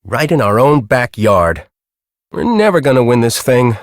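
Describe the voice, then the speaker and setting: Grumpy middle-aged game professor, American accent, sarcastic, exasperated, widely varying pitch, dry close studio sound. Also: male voice, big pitch swings, measured pace, dry recording. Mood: sarcastic, despairing. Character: grumpy middle-aged male storm-chasing professor, bossy and cynical. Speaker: video game character, research team leader. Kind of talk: video game voice acting.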